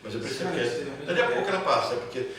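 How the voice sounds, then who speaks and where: A man's voice: medium pitch, animated, very fast, a crowd in the background. A Brazilian man teaching a class informally, repeating phrases and checking that students follow with short tag questions. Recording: echoey room, far mic, clear background noise.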